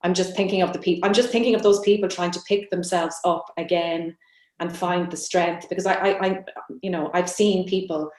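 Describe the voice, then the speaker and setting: A woman's voice: on the low side, fairly even intonation, normal speed, a little mellow. A woman writer talking reflectively on a panel, thoughtful and hesitant, circling back over her phrases. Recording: roomy, echoing sound.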